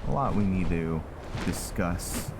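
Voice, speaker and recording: A man giving casual commentary on his own video game playthrough, halting as he thinks aloud. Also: animated. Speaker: gaming video host narrating own playthrough. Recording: heavy background noise, rumbling game ambience.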